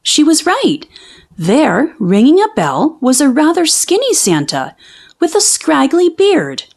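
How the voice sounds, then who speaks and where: A woman reading a children's storybook aloud as an expressive narrator. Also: high voice, takes it slowly, gravelly.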